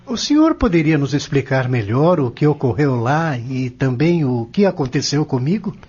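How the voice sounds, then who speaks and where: A man's voice: mid-range voice, very animated, moderate pace, darkish tone, slightly rough. An actor playing an earnest, curious young man in an audio drama, respectful and questioning. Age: young adult. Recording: dull, muffled recording.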